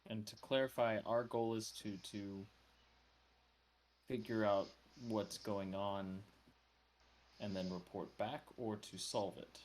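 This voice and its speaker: A man's voice: on the low side, steady tone, slow, pauses often, slight background noise. A man playing in a tabletop roleplaying game, casually asking questions in character.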